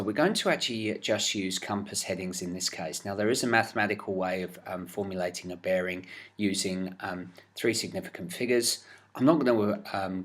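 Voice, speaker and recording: A man with a slightly low voice teaches a science lesson for students. He explains patiently and step by step, often pausing on fillers as he works through each idea. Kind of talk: explanatory classroom video lesson.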